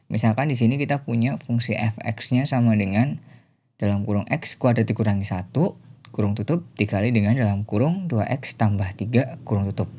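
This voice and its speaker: Bright-voiced male math teacher. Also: fairly low pitch, slightly rough. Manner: methodical, explanatory, pausing for emphasis. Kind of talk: step-by-step instructional lesson.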